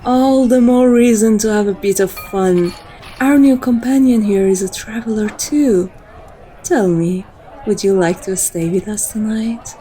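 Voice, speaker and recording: Casting: female actor for seductive vampire woman, teasing and intimate, unhurried, soft dark timbre, ASMR roleplay. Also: mid-range voice, a little hiss or hum, natural voice, low-quality recording. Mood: flirtatious. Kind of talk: scripted ASMR roleplay acting.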